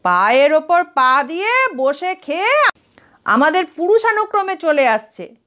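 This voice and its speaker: Lady doing old landlord uncle in a story, around seventy, proud n smug, slow and high. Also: very animated, a bit bright, highs cut off, heavily acted. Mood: proud, complacent.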